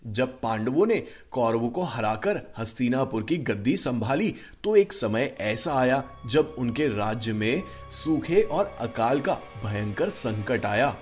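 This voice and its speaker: A man narrating a scripted children's story in an expressive storytelling manner. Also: mid-range voice, slow, hardly any pauses, faint music behind.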